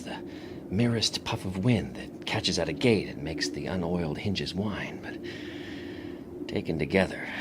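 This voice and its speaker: An actor playing a haunted, obsessed man who fears the living wind, brooding and halting in a dramatic radio monologue, often dropping into whispers. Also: a bass voice. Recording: very noisy radio drama recording.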